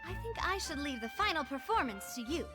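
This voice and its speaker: A woman's voice: high-pitched, big pitch swings, brisk, over music. A woman voicing a confident, playful young woman in a video game, coaxing and showy in manner. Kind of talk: dramatic video game voice acting.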